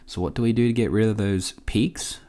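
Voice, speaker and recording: A man hosting a casual software tutorial, informal and a bit hesitant as he thinks aloud. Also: fairly low pitch, steady tone, brisk. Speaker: tutorial host.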